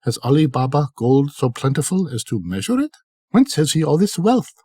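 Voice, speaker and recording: A man's voice: on the high side, very animated, normal speed, warm tone, husky, no echo, clean studio sound, voice pushed up. An older man voicing a sly, envious wife from an old folktale, with emphatic, theatrical delivery. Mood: envious, amazed.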